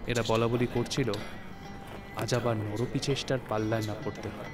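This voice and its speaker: A man reading a literary story as narrator, in a measured, phrase-by-phrase storytelling manner. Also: medium pitch, level tone. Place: recording studio. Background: music.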